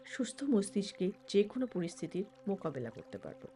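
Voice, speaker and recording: A woman leading a guided meditation as an instructor, speaking in measured short phrases with pauses. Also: mid-range voice, very animated, mellow, slight background noise. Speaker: certified meditation instructor and mind trainer. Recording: background music under the voice. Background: music.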